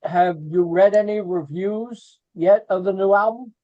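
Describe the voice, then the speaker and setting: A man's voice: high voice, measured pace. A man, interviewing guests over a video call in a chatty way. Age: 60s. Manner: chatty, with many fillers. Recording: dull lo-fi video call audio.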